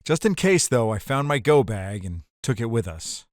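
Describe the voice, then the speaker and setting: A man's voice: medium pitch, sing-song, normal speed, no room sound, clean studio sound, natural voice. A man playing a hardboiled ex-bootlegger who narrates his caper in an audio drama, terse and wry. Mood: wry, reflective.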